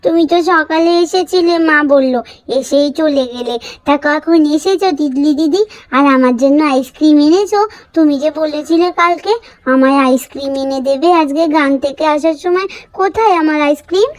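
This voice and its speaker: A woman's voice: sits high, normal speed, pitched above the performer's own voice, close to an everyday voice. A woman voicing a demanding, chatterbox little girl doll in a story, with a whiny, pouty, childish manner. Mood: eager, pleading.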